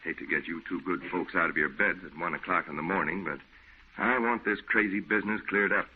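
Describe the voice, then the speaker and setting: Actor as a low-voiced, exasperated skeptic. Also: male voice, fairly quick, slight background noise, close to an everyday voice. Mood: firm, exasperated. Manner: folksy, blunt. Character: skeptical, exasperated, no-nonsense man debunking a ghost. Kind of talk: old-time radio drama acting. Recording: tinny, phone-like old radio recording.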